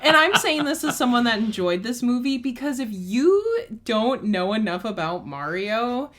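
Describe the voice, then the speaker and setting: Bright-voiced young woman, chatty and upbeat, co-hosting a casual video. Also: fairly high pitch, big pitch swings, normal speed. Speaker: co-host. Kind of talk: casual chat.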